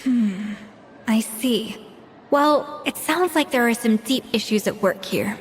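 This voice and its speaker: A woman's voice: a little high, lively intonation, slow pace, in a large hall, slight background noise. An actress voicing a confident, proud female master pastry chef who mentors her former staff. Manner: self-assured, mentoring. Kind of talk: video game voice acting.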